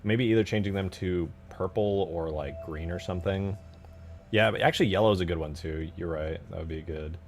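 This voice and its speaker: A man's voice: low voice, brisk, slight background noise. A man chatting casually as a streamer at work, thinking aloud in informal, slangy fragments with casual swearing. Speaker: game modder streaming their work. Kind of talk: casual livestream chat while working.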